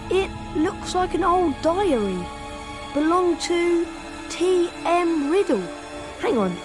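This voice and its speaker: A woman voicing an earnest, inquisitive young schoolboy wizard with a British accent in a video game. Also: high voice.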